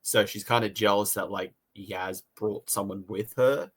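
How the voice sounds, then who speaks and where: A man's voice: on the low side, fairly even intonation, unhurried, slightly rough. A man co-hosting a casual review podcast, talking in a rambling way, repeating phrases and voicing his own thoughts.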